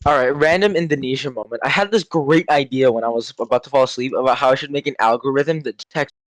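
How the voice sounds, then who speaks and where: A man's voice: medium pitch, fast, no echo, rough recording. A young man chatting casually while gaming, with a slangy, profane way of talking. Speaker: gamer chatting during play.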